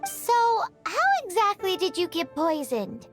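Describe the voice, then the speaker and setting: A woman's voice: extremely high pitch, wildly swooping pitch, measured pace, over music, exaggerated voice. A woman voicing a tiny, curious, childlike fairy companion in a video game, with an inquisitive manner.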